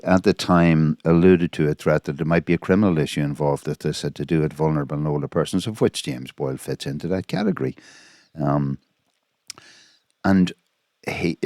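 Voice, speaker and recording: A man's voice: low-pitched, darkish tone, dry recording, clean studio sound. An Irish man giving an informal spoken analysis of a recording, indignant, emphatic and repeating his points. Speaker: commentator analysing a recorded phone call.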